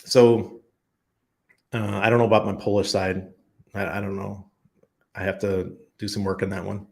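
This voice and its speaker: A man with a fairly low, gravelly voice hosts a casual livestream and answers viewers' chat. He rambles and thinks out loud, full of ums and false starts. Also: little pitch movement, normal speed. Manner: rambling, thinks aloud with many ums and restarts. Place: expat living abroad.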